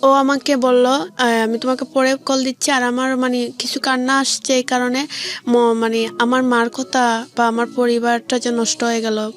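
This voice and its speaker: High-pitched woman telling her story. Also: little pitch movement, normal speed. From Sylhet region, Bangladesh. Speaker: guest telling own life story. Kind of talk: personal storytelling in an interview.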